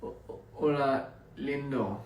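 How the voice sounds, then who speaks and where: A man's voice: medium pitch, unhurried, slight background noise. A young man from Australia, chatting casually and rambling with fans on a live stream. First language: English. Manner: relaxed, rambling, laughing between lines. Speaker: livestreaming artist. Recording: echoey room, distant phone mic.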